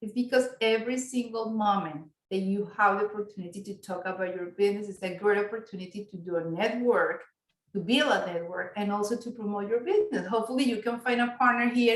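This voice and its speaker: A woman's voice: medium pitch, brisk. A woman who speaks English as a second language, leading an online business workshop as instructor in an encouraging, appreciative manner. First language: not English. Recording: roomy echo on online video call.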